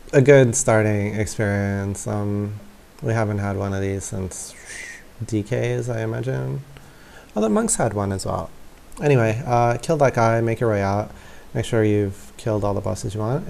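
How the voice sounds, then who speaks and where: A man's voice: mid-range voice, creaky, darkish tone. A man hosting a gaming video with casual walkthrough commentary. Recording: faint game music behind.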